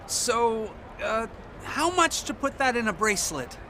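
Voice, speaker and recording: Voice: mid-range voice, sing-song, a little slow, background noise. An actor playing an earnest young male crew member in a video game cutscene. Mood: anxious.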